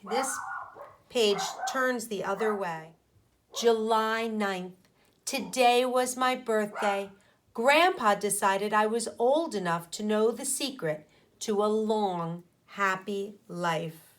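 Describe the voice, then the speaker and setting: A woman teacher reading a picture book aloud to children, speaking deliberately with pauses between phrases and putting questions to her pupils. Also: medium pitch. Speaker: primary school teacher.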